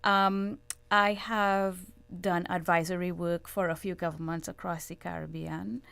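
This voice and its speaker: A middle-aged woman with a Trinidadian accent, speaking in a measured, earnest way as a guest analyst in a radio interview. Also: on the low side, level tone, vocal fry, a bit bright, clear, resonant tone. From Trinidad and Tobago. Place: radio studio.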